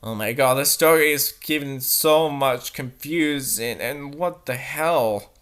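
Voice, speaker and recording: A woman's voice: extremely low pitch, slow pace. A woman reading a story aloud theatrically and adding exasperated, mocking asides. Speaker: fanfic reader and commentator.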